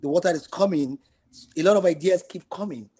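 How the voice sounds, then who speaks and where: A man giving casual interview answers, halting and repeating words, with easy laughter. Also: high-pitched, warm tone, breathy edge. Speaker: interview guest.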